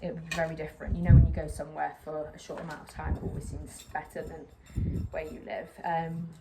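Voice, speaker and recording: A woman's voice: sits low, level tone, brisk. A young woman chatting to the camera while repotting a plant outdoors, rambling with lots of fillers. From England. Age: young adult. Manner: rambling, filler-heavy, self-conscious. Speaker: plant vlogger. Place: outdoors on a patio by a brick wall, a little windy. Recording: clear outdoor noise behind.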